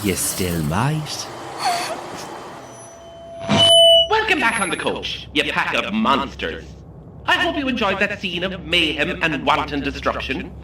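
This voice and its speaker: An actor playing a sardonic, menacing coach tour guide in an audio horror comedy, taunting like a showman with dramatic pauses. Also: on the high side, huge pitch swings, echoey room, distant mic, noisy background. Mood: sardonic menace.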